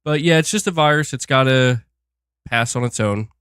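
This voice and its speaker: A man's voice: medium pitch, normal speed, fairly bright tone, clean tone, dry recording. Guy streaming while sick, kinda nasal, rambly, dry close sound. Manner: rambling, with fillers and restarts. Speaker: gaming channel host. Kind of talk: casual livestream chat.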